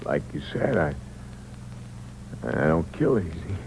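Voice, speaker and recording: An actor playing a young criminal who has been shot and is dying, speaking weakly and haltingly in a 1950s radio drama. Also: male voice, big pitch swings, creaky, darkish tone, a little hiss or hum, exaggerated voice, low-quality recording. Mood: bitter. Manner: weak, low, faltering speech.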